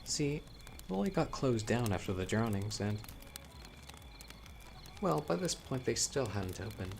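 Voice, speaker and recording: A man playing a young newcomer telling eerie local legends by a campfire in a horror audio roleplay, conversational and teasing with dramatic pauses. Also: fairly low pitch, very animated, creaky, a little hiss or hum, heavily acted. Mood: casual, intrigued. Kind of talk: casual spoken storytelling in horror audio roleplay.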